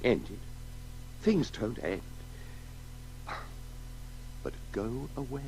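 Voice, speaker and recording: A man acting a brooding, halting writer in a radio play, narrating his own story with intensity. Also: mid-range voice, sing-song, darkish tone, faint music behind, heavily acted, rough recording. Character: brooding, wonder-struck male writer telling his story.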